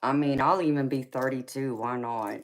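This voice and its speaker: A woman's voice: very deep voice, fairly even intonation, moderate pace. A woman, chatting casually with live-stream viewers, laughing often and calling them honey. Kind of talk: casual live-stream chat reading comments.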